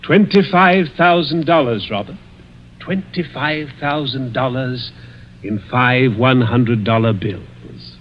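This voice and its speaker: An actor playing a suave, malicious older millionaire in a 1940s radio mystery, with silky, taunting, deliberate speech. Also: male voice, medium pitch, a little hiss or hum, close to an everyday voice. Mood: taunting, sly. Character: suave, malicious, wealthy older stepfather plotting murder. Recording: muffled vintage 1940s radio recording.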